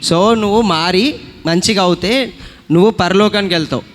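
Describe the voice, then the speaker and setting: Male children's Bible teacher and puppet host: high voice, patient admonishing talk, noisy echoing hall. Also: normal speed. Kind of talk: simple conversational teaching to children. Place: hall at a church children's programme.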